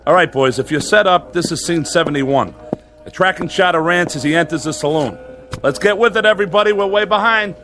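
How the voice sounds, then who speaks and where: An actor playing an exasperated, placating TV director, weary and dryly sarcastic. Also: male voice, fairly high pitch, brisk, music in the background, natural voice. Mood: brisk.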